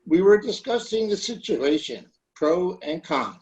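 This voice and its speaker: A dry, noncommittal small-town skeptic in a 1940s-style radio comedy, acted by a man. The voice sits fairly high and moves around a lot in pitch, fussy and hedging. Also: very animated, measured pace, darkish tone, poor audio. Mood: dryly skeptical.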